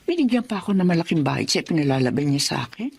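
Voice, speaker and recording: A woman's voice: lowish voice, sing-song, fast talker, breathy edge, full character voice. An actress playing a kindly, easily alarmed middle-aged mother in a Filipino drama. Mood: puzzled. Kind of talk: Filipino radio-style drama acting.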